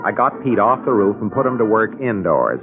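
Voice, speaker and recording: A man's voice: lowish voice, very animated, brisk, phone-quality sound. An actor playing a wry, harried middle-aged American father, narrating and speaking dryly in an old-time radio drama. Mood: wry narration. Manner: dry, wry. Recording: thin band-limited old radio recording, music behind.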